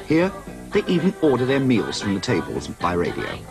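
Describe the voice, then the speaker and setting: A man's voice: mid-range voice, wildly swooping pitch, normal speed, creaky, over music. A man narrating a scripted television news feature as a reporter.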